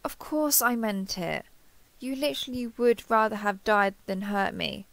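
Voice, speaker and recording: A woman's voice: medium pitch, unhurried, gravelly, fairly bright tone, no room sound. A woman playing a kindhearted, awkward young woman who gets easily flustered, speaking hesitantly and earnestly in an intimate roleplay audio drama.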